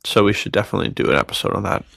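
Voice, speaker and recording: Gravelly, low-voiced man chatting casually. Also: lively intonation, rapid-fire, a bit bright, no room sound, clean studio sound. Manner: bro-ish slangy talk. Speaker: podcast co-host. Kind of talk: casual podcast chat.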